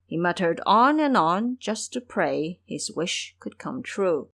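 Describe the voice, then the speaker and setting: A woman's voice: lowish voice, sing-song, takes it slowly, creaky, a bit bright. A woman narrating a fantasy audio drama as a scripted, dramatic storyteller.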